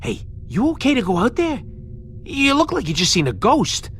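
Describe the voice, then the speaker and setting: A man voicing a brash, skeptical little creature sidekick in an animated space game, blunt and streetwise. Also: very high voice, normal speed, a little hiss or hum, heavily acted. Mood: wary, nagging. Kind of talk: animated game drama acting.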